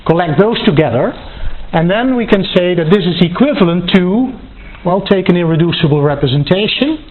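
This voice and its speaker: A man giving a mathematics lecture, working step by step in a halting, self-correcting way. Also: high voice, lively intonation. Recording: thin phone-like band, clearly noisy, clicks.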